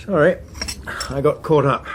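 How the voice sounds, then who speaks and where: An actor playing a suave, unflappable veteran chief whip in a radio drama, with an English accent and a smooth, wry, understated manner. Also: male voice, fairly high pitch, moderate pace, slight background noise, close to an everyday voice. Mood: wry, breezy. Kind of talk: naturalistic radio drama acting.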